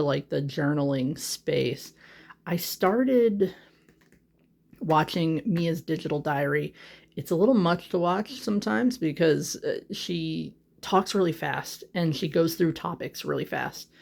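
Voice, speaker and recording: A young adult sits in a home office and talks into a phone held in their hand, chatting casually and drifting from thought to thought. Their speech is full of "like" and "um," soft and a little self-conscious, and it often sinks into gravelly fry at a middle pitch. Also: animated, measured pace. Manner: rambling, full of "like" and "um" fillers. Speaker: vlogger. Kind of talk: casual chat.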